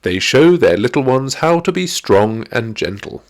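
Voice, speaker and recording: A man as a kind, unhurried rhino ranger. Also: mid-range voice, big pitch swings. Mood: proud. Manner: friendly, proud, agreeable. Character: strong, kind, enthusiastic male rhino zoo ranger. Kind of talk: children's storybook character voice.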